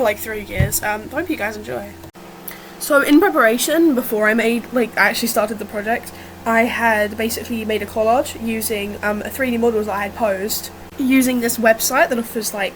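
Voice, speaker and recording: A woman with a slightly rough, under-the-weather voice gives casual, unscripted commentary on her own artwork in a chatty, self-deprecating way. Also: fairly high pitch, poor audio. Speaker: digital artist narrating own speedpaint. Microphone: built-in or improvised, no dedicated mic. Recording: fan noise, no proper mic. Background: whirring fan.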